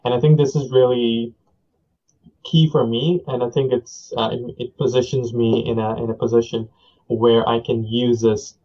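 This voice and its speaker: A young man from India, a native Punjabi speaker, talks through his answers slowly and thoughtfully, pausing on fillers and restarting his sentences. His voice stays level and even, and the recording sounds dull and lo-fi. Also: mid-range voice. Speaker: guest. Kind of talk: conversational interview. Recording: dull lo-fi audio.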